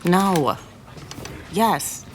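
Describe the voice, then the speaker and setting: Actress as stressed young restaurant owner, hesitant, flustered, slow delivery. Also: female voice, fairly low pitch, animated, a little hiss or hum. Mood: conflicted.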